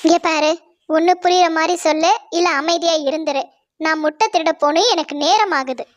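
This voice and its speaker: A woman's voice: extremely high pitch, fairly even intonation, normal speed. A woman voicing Jakku, a greedy male bird who steals eggs, in a blustering, dismissive manner. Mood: impatient. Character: greedy male bird, egg thief, gruff.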